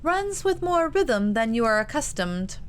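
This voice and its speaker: A woman reader plays a chatty young married lady from a period storybook. She is energetic and encouraging, with a raised pitch. There is noticeable noise in the background. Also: a little slow. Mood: animated explaining. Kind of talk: audiobook character dialogue.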